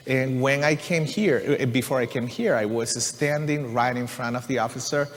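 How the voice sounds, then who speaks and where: A man's voice: medium pitch, moderate pace. A man from Venezuela, a church musician giving an earnest, conversational personal testimony to a congregation, often saying "you know" and "right?". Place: church sanctuary. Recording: long reverb of a large church hall.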